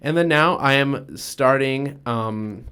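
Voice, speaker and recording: A young man co-hosting a podcast, chatting casually and relaxed with frequent fillers. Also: medium pitch, takes it slowly, a little hiss or hum. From United States. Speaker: podcast co-host.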